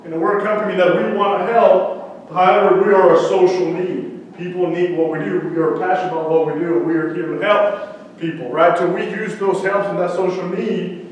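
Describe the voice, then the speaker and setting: Man pitching in an echoey room. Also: steady tone, a little fast, distant mic, lo-fi sound, a little hiss or hum. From central Mexico. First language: Spanish. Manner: conversational, quizzes the audience with questions. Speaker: startup founder pitching. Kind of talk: energetic startup pitch talk. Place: event room with audience. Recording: echoey room, speaker away from mic.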